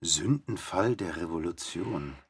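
A man's voice: low-pitched, animated, a little slow, very noisy. A man delivering terse scripted lines in a radio drama feature. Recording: loud music under the voice. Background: loud music.

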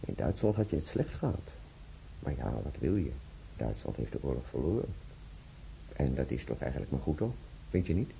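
An actor playing a kindly young hospital doctor in a radio play, giving advice in a paternal way. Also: male voice, rumbling low, very animated, a little fast, creaky, pauses often, dark tone, a little hiss or hum, heavily acted, rough recording. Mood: paternal, reassuring. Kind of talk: radio drama acting.

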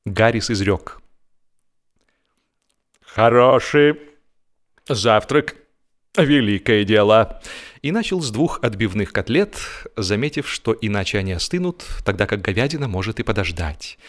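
A man reading a humorous literary story as an expressive narrator, with a theatrical, mock-solemn delivery. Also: fairly low pitch, fairly quick. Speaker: audiobook narrator.

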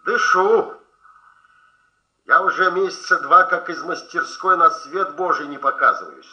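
An actor playing a brooding, obsessive middle-aged painter in a radio drama, speaking in emphatic broken phrases. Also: male voice, a little high, measured pace, pushed and bright, reverberant, highs cut off. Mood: playful, secretive. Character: brooding, obsessive painter, bantering old friend of middle age. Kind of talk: Soviet radio drama acting.

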